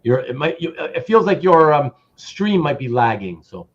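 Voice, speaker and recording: A man's voice: on the high side, sing-song, normal speed, muffled recording. A man hosting a casual livestream about comic art, reading out chat comments and rambling with repeated words and self-interruptions.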